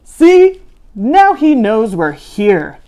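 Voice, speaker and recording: A woman voicing a stern male monster teacher in a storybook, scolding and instructing with slow, exacting delivery. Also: a little high, big pitch swings, warm tone, heavily acted.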